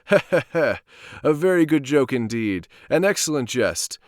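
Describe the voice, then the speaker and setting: Man acting a theatrical, desperate doomed nobleman, raised pitch. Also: big pitch swings, moderate pace, dry recording, clean studio sound. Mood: forced mirth. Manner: theatrical, forced laughing. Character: doomed nobleman, feigning mirth, desperately pleading.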